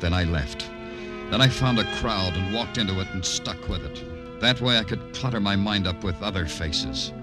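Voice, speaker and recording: A world-weary detective, played by a male actor, speaks in a deep, low voice with a terse, dry manner. It has the feel of old-time radio noir, with clear music underneath. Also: natural voice. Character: world-weary, dry police detective narrating his case.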